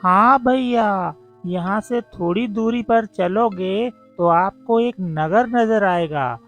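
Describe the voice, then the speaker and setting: Guy doing a folksy village fella from a cartoon, high drawly voice, loud and friendly. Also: takes it slowly, soft background music. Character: friendly male villager giving directions to travellers.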